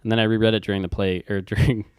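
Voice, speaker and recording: A man's voice: fairly low pitch, level tone, very fast, clean tone, no echo. Young man in casual, dry-recorded book chat. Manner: hesitant, rambling, informal. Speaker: book vlogger.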